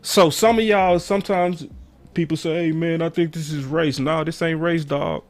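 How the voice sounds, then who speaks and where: Hoarse guy doing passionate sports talk, kinda high voice, raspy, slangy and emphatic. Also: fairly even intonation, moderate pace, faint music behind. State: hoarse, voice going in and out. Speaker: sports call-in show host. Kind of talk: passionate sports commentary to callers and viewers.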